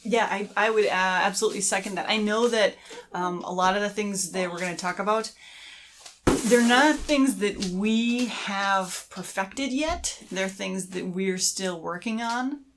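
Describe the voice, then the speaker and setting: A middle-aged woman speaks as a co-host on a casual podcast. Her voice sits at a medium pitch, and she explains her points in an agreeable, informal manner. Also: unhurried, a bit bright, a little hiss or hum. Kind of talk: casual conversational advice on creativity.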